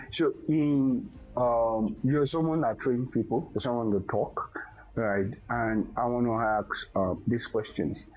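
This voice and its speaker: A host leads a casual interview, asking questions and adding short affirmations. The voice is husky and mid-pitched. Faint music plays underneath. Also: darkish tone, poor audio. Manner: brief affirmations, prompting questions.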